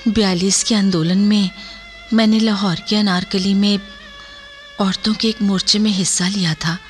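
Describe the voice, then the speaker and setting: A woman plays a dignified soldier's wife in a radio drama, with music behind her. Her voice is medium-pitched, and she speaks respectfully yet with firm resolve. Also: steady tone, moderate pace, natural voice. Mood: firm, aggrieved. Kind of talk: Hindi radio play acting.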